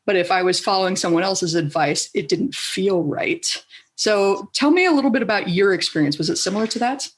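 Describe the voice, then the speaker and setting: A woman's voice: mid-range voice, brisk. A woman from the Southern United States hosting a podcast and interviewing a guest in an enthusiastic, chatty way. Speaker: podcast host, coach. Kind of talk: casual interview talk.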